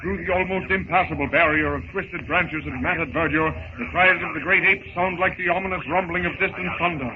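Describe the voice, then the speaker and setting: A man's voice: a little high, fairly quick, few pauses. A man giving florid, dramatic scripted narration in the manner of a 1930s radio serial. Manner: florid, theatrical. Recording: noisy tinny 1930s radio recording.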